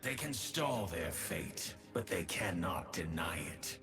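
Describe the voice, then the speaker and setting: A grim male demon archer bent on revenge for a fantasy game. His lines come slow and low with a quiet menace. Game music plays clearly behind him. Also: lively intonation, exaggerated voice.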